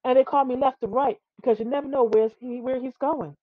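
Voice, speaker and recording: A woman's voice: sits high, fairly even intonation, a little fast, no echo, phone-quality sound. A woman voicing casual, boastful boys from a playground basketball team in a children's storybook.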